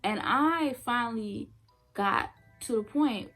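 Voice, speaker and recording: A woman's voice: fairly high pitch, slow, a bit bright, faint music behind, rough recording. A young woman, a former nursing assistant, telling her story in a casual vlog, blunt and emphatic, repeating her points for effect.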